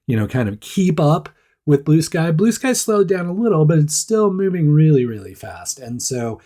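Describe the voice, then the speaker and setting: Male host, congested and nasal, casual chatty news delivery with lively asides. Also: a little high, normal speed. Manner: chatty, animated asides, mock-voices others. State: stuffed up, rough voice. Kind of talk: casual weekly news roundup.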